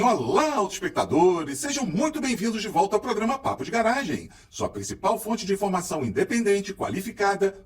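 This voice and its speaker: Male show host, upbeat announcer energy, high and very animated pitch, slightly distant from the mic. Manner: enthusiastic, energetic announcer delivery. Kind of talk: lively program host intro.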